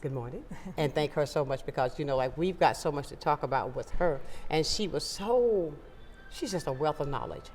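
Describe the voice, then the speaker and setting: Animated upbeat woman talk show host. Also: sits low, moderate pace, in a large hall, soft background music. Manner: warm, emphatic, upbeat. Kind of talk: local morning talk show. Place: local TV studio.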